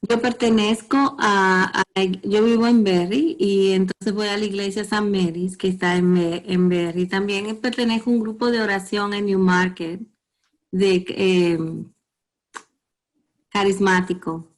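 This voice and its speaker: A middle-aged Dominican woman talks calmly as a radio guest, in a very even tone with little rise and fall. Her Dominican Spanish accent is clear, and she pauses now and then to find her words. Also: mid-range voice. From Dominican Republic. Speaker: guest psychotherapist. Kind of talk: radio interview.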